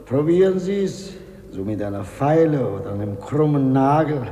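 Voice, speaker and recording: An actor playing a sardonic, gloating chief prison warder with a mocking, folksy manner, in a 1950s German radio play. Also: male voice, mid-range voice, lively intonation, moderate pace, dark tone, natural voice. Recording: echoey long reverb, 1950s radio drama.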